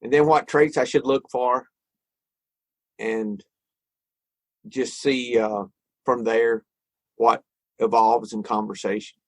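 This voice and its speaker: A man's voice: medium pitch, flat delivery, takes it slowly, pauses often, no room sound. A man, thinking aloud in a casual podcast conversation about recovery and spirituality. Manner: reflective, thinks aloud with frequent restarts. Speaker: podcast co-host.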